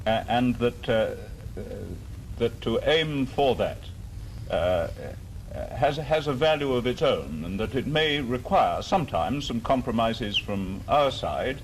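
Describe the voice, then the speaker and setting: A man putting formal, deferential, roundabout questions as a press interviewer, in halting phrases. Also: mid-range voice, lively intonation. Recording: faintly noisy 1950s archival broadcast.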